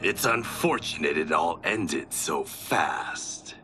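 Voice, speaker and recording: An actor playing a warlord's grave, deferential henchman in a video game cutscene, speaking in a measured way. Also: extremely low pitch, animated, music in the background, heavily acted. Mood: grave deference.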